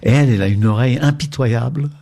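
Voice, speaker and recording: A man's voice: mid-range voice, fast talker, gravelly, mellow. Older man with a faint Czech accent. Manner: hesitant, many fillers and restarts. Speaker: documentary filmmaker, guest. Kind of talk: radio interview.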